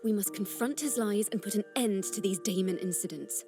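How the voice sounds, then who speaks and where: A woman voicing a gentle, earnest young bard in a video game story, speaking in a measured, contemplative and poetic way. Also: mid-range voice, lightly acted. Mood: serious, resolute. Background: background music.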